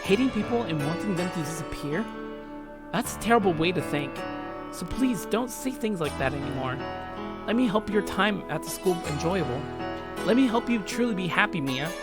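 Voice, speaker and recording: A man playing an earnest, protective schoolboy in a visual novel, pleading and halting, with dramatic delivery. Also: sits high, expressive, over loud music.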